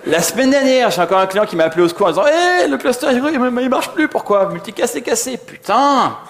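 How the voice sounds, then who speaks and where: A man's voice: extremely high pitch, lively intonation, quick pace, vocal fry. A man giving an informal technical conference talk in a slangy, conversational way, often acting out little dialogues. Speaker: conference co-speaker, software developer.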